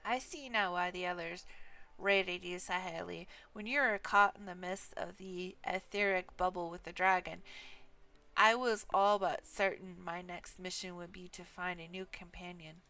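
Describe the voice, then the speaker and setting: A woman's voice: on the low side, steady tone, normal speed, pushed and bright, faint music behind. A woman voicing an earnest, worried young male companion from a fantasy game, with formal, dramatic phrasing. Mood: relieved, earnest. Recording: noticeable background noise.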